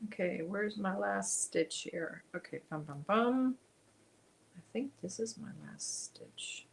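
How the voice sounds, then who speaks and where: A woman's voice: medium pitch, lively intonation, slow, gravelly, slight background noise. A woman, hosting a casual crochet livestream, chatting and reading viewer comments aloud as she works. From Germany. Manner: chatty, reads viewer comments aloud, mutters while counting stitches.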